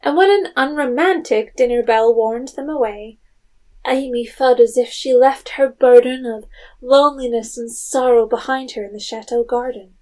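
A woman's voice: on the high side, normal speed, a little mellow, close to an everyday voice. A woman narrator reading a scripted period story as storytelling narration.

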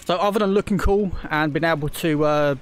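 A man's voice: on the high side, a little fast, background noise. A man giving casual let's-play commentary, rambling with lots of ums and restarts, recovering from a throat infection. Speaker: gaming YouTuber.

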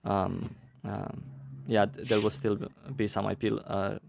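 A man hosting a livestream panel on a headset mic, reading viewer questions aloud with many pauses and fillers. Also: on the low side, little pitch movement, vocal fry, darkish tone, no echo. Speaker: host.

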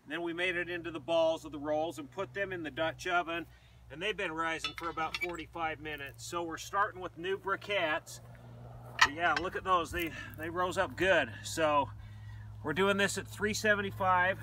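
A middle-aged man hosting a casual cooking demonstration, talking plainly and relaxed while he works with his hands, first in a kitchen, then in an open field. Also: on the high side, moderate pace, far from the mic, heavy background noise. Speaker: outdoor cooking host. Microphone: camera mic at a distance.

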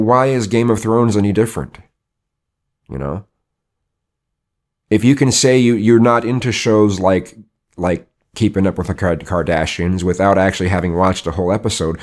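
A hoarse middle-aged man talking casually to camera in a rambling opinion vlog, full of restarts and rhetorical questions. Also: fairly low pitch, moderate pace. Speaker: vlogger. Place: indoor studio with colored backdrop.

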